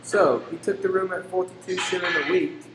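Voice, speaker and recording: Male actor as excited married man, hopeful, high-pitched; echoing room with faint noise. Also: fairly quick, close to an everyday voice. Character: landlady's husband, excited and hopeful. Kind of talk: radio play acting. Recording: roomy echo with faint background noise.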